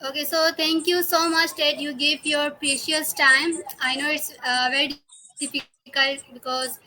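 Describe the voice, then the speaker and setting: High, bright-voiced female podcast interviewer. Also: little pitch movement, rough recording. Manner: halting, chatty non-native English. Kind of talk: casual remote video interview.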